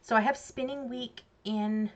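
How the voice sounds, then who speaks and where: A woman's voice: a little high, steady tone, unhurried. Chatty Canadian woman, slightly muffled. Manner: chatty, giggly, self-mocking. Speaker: crafts vlogger. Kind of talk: casual crafting chat. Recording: slightly dull lo-fi sound.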